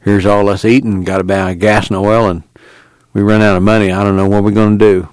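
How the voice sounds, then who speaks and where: A man's voice: lowish voice, level tone, brisk, darkish tone, no room sound, close to an everyday voice. Man as a worried country father. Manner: plain, folksy, fretting. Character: worried older country father of a poor family.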